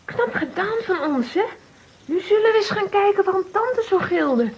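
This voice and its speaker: Children's audio drama: eager little girl, squeaky high pitch, animated delivery. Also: moderate pace, highs cut off. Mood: excited. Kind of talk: children's radio play acting.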